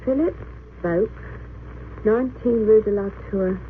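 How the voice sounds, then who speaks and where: A woman playing a brisk hospital assistant in an old radio drama. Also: medium pitch, lightly acted. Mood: brisk, puzzled. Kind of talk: 1930s-40s radio drama acting. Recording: tinny, hissy old radio recording.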